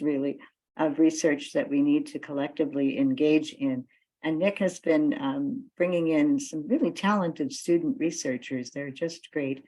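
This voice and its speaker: A woman with a distinctly low voice speaks as a guest at an online activist meeting. Her delivery is informal, appreciative and encouraging, addressed to fellow participants over a computer call. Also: mellow, rough recording. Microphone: computer video call.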